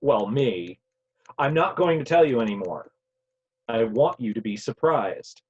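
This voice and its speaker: A man playing an earnest, grieving grown son from the future in a table read, with a halting, emotional delivery. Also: medium pitch, lively intonation, a little mellow, husky. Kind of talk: virtual table read of a play. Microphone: video call. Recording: dull, lo-fi online call audio.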